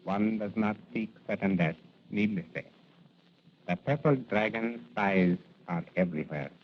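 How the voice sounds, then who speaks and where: A man acts out a courtly merchant in a lowish voice. He speaks solemnly and formally, in measured phrases, as in a 1940s radio play on a tinny, noisy recording. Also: natural voice. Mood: grave.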